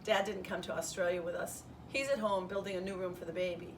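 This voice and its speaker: A woman reading a children's storybook aloud in the first person as a schoolteacher would, with an expressive delivery. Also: lowish voice, fast talker. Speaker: third grade teacher reading aloud. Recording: distant mic, roomy background noise.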